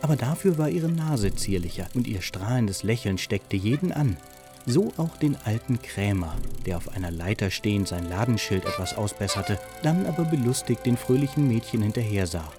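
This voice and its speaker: A man's voice: on the low side, big pitch swings, normal speed. A man narrating an audio drama as a scripted storyteller. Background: music.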